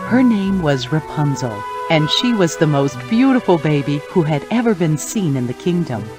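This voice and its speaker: A woman playing a kindly fairy godmother, gently narrating a children's storybook game. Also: deep voice. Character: kindly female fairy godmother telling fairy tales.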